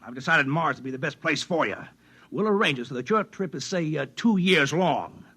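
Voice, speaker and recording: An actor playing a cynical, bullying boss in a mid-century American radio drama, sardonic and domineering, with a husky voice. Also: male voice, a little high, lively intonation, brisk, sharp tone, heavily acted. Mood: smug menace. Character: cynical, bullying male boss of a swindling regime.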